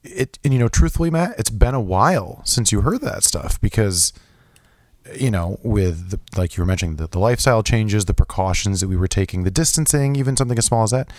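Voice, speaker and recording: A young man co-hosting a casual podcast chat, his voice low and a little hoarse from being sick, rambling with lots of restarts and fillers. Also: animated, a little fast, a little mellow, dry recording. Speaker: podcast co-host.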